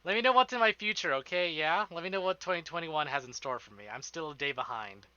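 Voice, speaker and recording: A man's voice: on the high side, lively intonation, quick pace, pushed and bright, clean tone, dry recording, lo-fi sound. A man streaming live, chatting casually with his viewers in an informal, rambling way and swearing freely.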